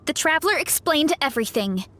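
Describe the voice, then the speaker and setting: A woman's voice: sits high, sing-song, fairly quick, bright, forceful tone, exaggerated voice. A woman voicing an earnest, easygoing young female fighter in a video game, with a straightforward manner. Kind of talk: video game character acting.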